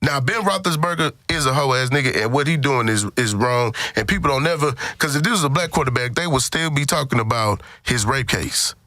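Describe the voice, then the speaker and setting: Bright-voiced American man, emphatic and profane. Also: medium pitch, expressive, brisk, few pauses, no room sound, clean studio sound. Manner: opinionated, emphatic, profane, repeats phrases for emphasis. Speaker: podcast co-host. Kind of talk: casual sports debate.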